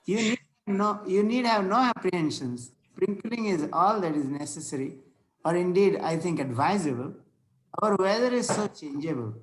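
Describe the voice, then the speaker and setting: A man playing a courteous, solemn Victorian clergyman in a play read-through, speaking formally and a little haltingly. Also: high voice, expressive, slight background noise, low-quality recording. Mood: courteous, earnest. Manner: formal, preachy, halting as he reads. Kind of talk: amateur play table read.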